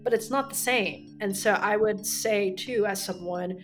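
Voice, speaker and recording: A woman's voice: mid-range voice, unhurried, soft background music. Earnest young woman, reflective. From United States. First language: Korean. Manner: reflective, earnest, with restarts and quoted inner thoughts. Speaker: former student body president. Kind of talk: recorded interview recollection.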